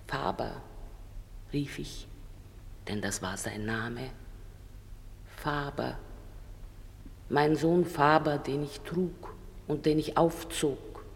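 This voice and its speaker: Casting: dead fishwife, bereaved and accusing mother. Unhurried, solemn radio-drama delivery, long reverb. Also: low-pitched, steady tone, vocal fry, a little hiss or hum, exaggerated voice. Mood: grieving, solemn. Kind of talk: theatrical verse radio drama.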